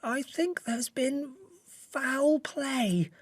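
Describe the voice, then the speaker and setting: A man's voice: extremely high pitch, very slow, dry recording, exaggerated voice. Man as an anxious, deferential butler, dry sound. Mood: frightened, dogged. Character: anxious, deferential old butler, frightened and dogged. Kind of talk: dramatic audiobook reading.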